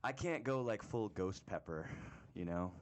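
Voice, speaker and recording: A man telling a funny story as a guest on a radio talk show, animated and laughing as he talks. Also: fairly low pitch, measured pace.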